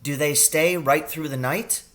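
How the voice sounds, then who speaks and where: A man reads as an anxious young boy in a children's story. The voice is lifted and bright. He sounds urgent and doubtful, questioning his father. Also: fairly high pitch, a little slow, pitched above the performer's own voice. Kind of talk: children's audiobook character reading.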